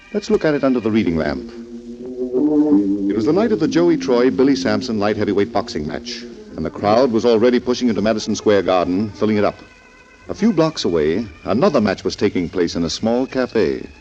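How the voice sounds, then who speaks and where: Suave male mystery-club librarian, 1940s radio drama: theatrical, inviting narration with big swoops in pitch. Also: darkish tone. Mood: dramatic narrating. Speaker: host and narrator. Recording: dull, noisy 1940s radio recording.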